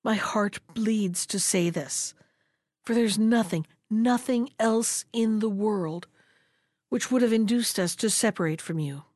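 A woman voicing a gentle, anxious duck who talks in an earnest, sorrowful way in a storybook reading. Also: medium pitch, measured pace, no echo, studio quality, lightly acted.

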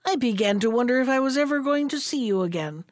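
A woman's voice: a little high, lively intonation, quick pace, dry recording, studio quality, voice pushed up, natural voice. Woman voicing a shaky old pleading turtle. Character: old lonely male turtle, weak and pleading.

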